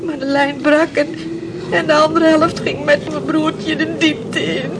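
An actress playing a grieving fisherman's daughter in a radio play, recalling things in a plain, halting way. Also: high-pitched, level tone. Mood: sorrowful, haunted. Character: grieving fisherman's daughter remembering her drowned brother. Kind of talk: Dutch radio play acting. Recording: noisy old radio play recording.

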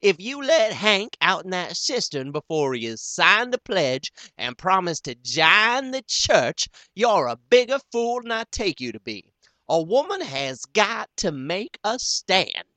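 A man's voice: high-pitched, sing-song, a little slow, pushed and bright, no echo, voice pushed up, exaggerated voice. A man voicing a stern, decided woman laying down the law in a raised voice. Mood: firm. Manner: firm, decided.

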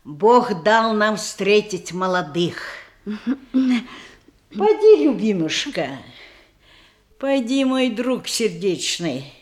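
An actress playing a sly, merry old provincial aunt in a verse radio comedy, with a folksy, teasing, affectionate way of talking. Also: female voice, mid-range voice, sing-song, extremely slow, long pauses. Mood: tender blessing. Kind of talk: theatrical verse comedy radio play acting.

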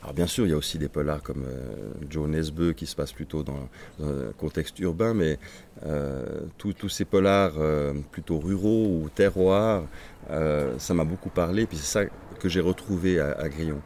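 A man whose first language is Swedish, a crime novelist answering interview questions in a reflective, halting way with many restarts. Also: very deep voice, a little mellow, a little hiss or hum.

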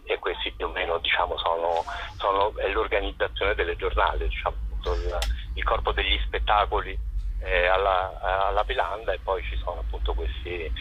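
A man's voice: deep voice, dull audio, a little hiss or hum. A man answering interview questions as a festival director, explaining at length in a hesitant, discursive way.

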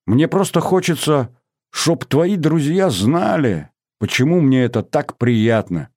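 A man's voice: mid-range voice, very animated, measured pace, darkish tone, no room sound, clean studio sound, pitched above the performer's own voice. A man voicing a young teenage girl in an audiobook, an earnest and proud little sister speaking with nervous, heartfelt feeling. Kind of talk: audiobook character voice.

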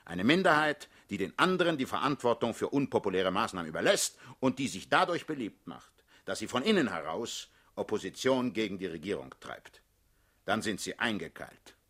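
A man's voice: lowish voice, sing-song, moderate pace, fairly bright tone. A male actor playing a hard-headed older revolutionary leader in a radio drama, lecturing and condescending. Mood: calculating, patient. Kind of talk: theatrical radio drama acting.

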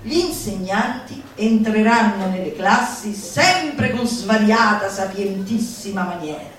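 A woman's voice: medium pitch, expressive, over music, close to an everyday voice. An actress as a theatrical female orator in echoing hall. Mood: mock-lecturing, animated. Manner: declamatory, exclamatory, drawn-out emphatic words. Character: manic, theatrical female futurist orator exalting laughter at suffering. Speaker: stage actress. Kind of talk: theatrical comic monologue before an audience. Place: roomy performance space, recorded from a distance. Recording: echoey hall, far mic, faint noise.

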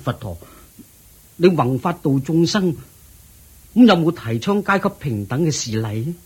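A man's voice: a little high, expressive, a little slow, husky, exaggerated voice. A man with a Hong Kong Cantonese accent playing a proud, worried wealthy father who pleads and frets in a radio drama. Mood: fretful. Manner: pleading, fretful.

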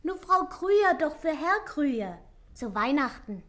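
High-voiced pert young girl. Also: sing-song, a little slow, a bit bright, full character voice. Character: pert, eager young daughter running errands. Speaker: actor. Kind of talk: German radio play acting.

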